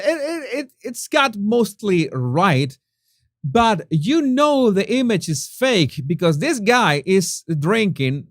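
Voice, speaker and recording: A man's voice: a little high, no room sound. Chatty Venezuelan man with a dry sound. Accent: Venezuelan Spanish. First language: Spanish. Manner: chatty, many restarts and fillers, laughs at own jokes. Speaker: YouTube host. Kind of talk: casual chat reading viewer comments.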